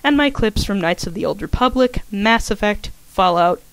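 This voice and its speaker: A woman's voice: medium pitch, lively intonation, normal speed, gravelly. A woman giving an informal scripted introduction to an audio story, chatty and full of self-aware little asides. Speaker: fan fiction author and podfic narrator.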